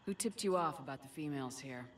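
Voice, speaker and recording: A woman playing a steady, direct human military commander in a video game, asking questions in a businesslike way. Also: rumbling low, fast. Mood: probing, firm. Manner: businesslike, probing. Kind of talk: video game cutscene acting. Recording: slightly distant, faintly noisy game capture.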